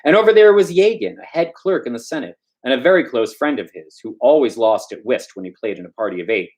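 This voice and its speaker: Male storyteller, medium pitch, highly varied intonation, informal reading, loose, stumbling, asides. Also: lively intonation, fast talker, darkish tone. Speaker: storyteller, show host.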